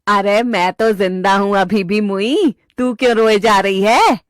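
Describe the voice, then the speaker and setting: A woman's voice: medium pitch, measured pace, dry recording, studio quality, voice pushed down, close to an everyday voice. A woman voicing an older, bossy village mother-in-law with a rustic North Indian accent and blunt, scolding manner, in a cartoon story. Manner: scolding, earthy exclamations.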